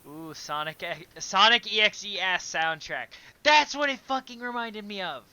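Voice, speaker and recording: A man's voice: sits high, expressive, normal speed, pushed and bright, clean tone, dry recording. A man hosting a livestream, chatting casually and bluntly with plenty of swearing. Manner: profane, blunt, exclamatory. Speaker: livestream host. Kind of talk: casual reaction stream chat with read-aloud passages.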